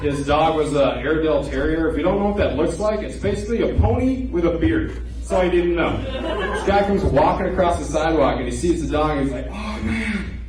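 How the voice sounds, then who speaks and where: A man's voice: on the high side, lively intonation, a little fast, crowd noise. Man in his twenties doing stand-up. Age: 20s. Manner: loose, conversational storytelling with self-deprecating punchlines. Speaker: stand-up comedian. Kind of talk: stand-up comedy set. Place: comedy club stage before a live audience. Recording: echoey live room, distant mic, audience noise.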